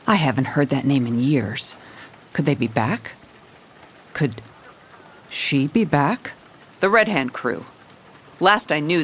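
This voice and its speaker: A woman's voice: low voice, a little hiss or hum. Jaded female police chief, noir detective narration, world-weary, wry, audio drama, background ambience. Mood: intent.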